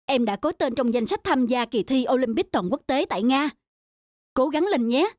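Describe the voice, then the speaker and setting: A woman's voice: high-pitched, expressive, normal speed, no room sound, studio quality. A woman voicing a kindly male schoolteacher in a cartoon, formal and encouraging. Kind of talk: cartoon moral-tale dubbing. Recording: loud guitar music behind.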